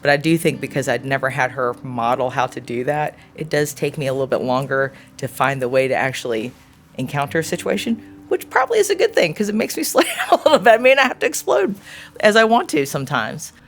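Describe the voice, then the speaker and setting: A woman in her forties answering an interview question in a thoughtful, earnest way, often pausing to search for words. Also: very deep voice, very animated, slight background noise. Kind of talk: reflective personal interview answer.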